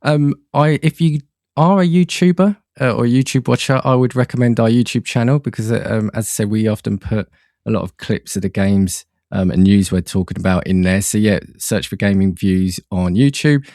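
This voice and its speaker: A man's voice: on the low side, normal speed, warm tone, dry recording, clean studio sound. A man chatting casually as a podcast guest, hesitant and rambling, often repeating words as he goes. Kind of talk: casual chat about films and games.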